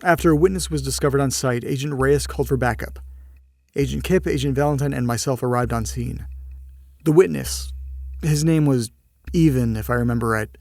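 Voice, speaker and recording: An actor playing a polite field agent who reports on cases in a measured, slightly tentative way in an audio drama. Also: male voice, mid-range voice, gravelly, a little mellow, dry recording, clean studio sound, close to an everyday voice. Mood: measured, reporting.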